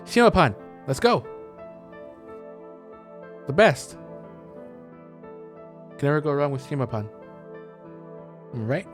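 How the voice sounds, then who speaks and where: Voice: medium pitch, sing-song, slow, long pauses, faint music behind. A video game streamer giving casual, rambling play-by-play commentary, muttering to themself and trailing off.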